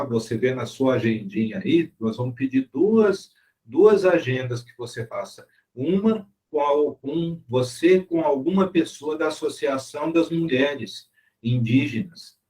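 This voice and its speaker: A man's voice: medium pitch, a little slow, darkish tone. A man hosting a video-call interview, courteous and formal, closing with blessings. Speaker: interview host.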